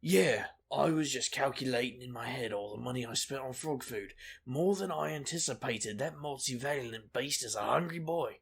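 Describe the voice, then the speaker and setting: Gravelly, wisecracking male investigator, played by an actor. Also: mid-range voice, moderate pace, hardly any pauses. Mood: glib, cocky. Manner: glib, deadpan joking. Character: brash, wisecracking male urban-fantasy investigator, reckless charmer. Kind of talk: audio drama acting.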